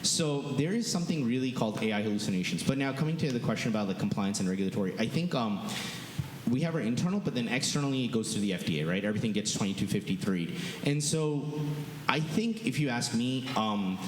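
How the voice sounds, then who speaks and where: A man's voice: mid-range voice, lively intonation, fast. A man speaking on a conference panel, emphatic and full of rhetorical questions. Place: large hotel ballroom. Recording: echoey ballroom, faint hiss.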